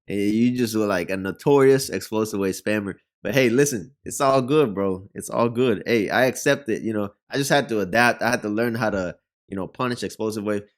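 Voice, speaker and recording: A man's voice: lowish voice, brisk. A man with a Puerto Rican Spanish accent and Spanish as his first language, chatting loosely about a fighting game as a reaction host. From Puerto Rico. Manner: chatty, rambling, uses many fillers. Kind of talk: casual gaming commentary.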